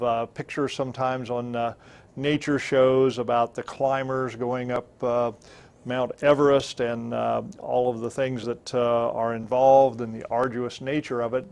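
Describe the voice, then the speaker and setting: A man with an American accent hosting a conversational interview, phrasing his questions deliberately and with some hesitation. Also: mid-range voice, vocal fry, darkish tone. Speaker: interview host. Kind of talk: conversational live-stream interview.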